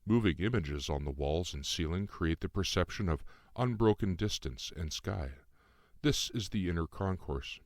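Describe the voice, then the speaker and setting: A man voicing a calm, calculating otherworldly being in a formal, precise, didactic way for an audiobook. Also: low voice, moderate pace, no echo, studio quality. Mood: explanatory. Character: Lucien, calm calculating otherworldly being in young man's form. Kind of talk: audiobook dialogue.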